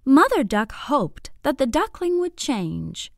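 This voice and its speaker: A woman narrating a scripted bedtime story for young children in an expressive, deliberate, clearly phrased way. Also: sits high, no echo, clean studio sound.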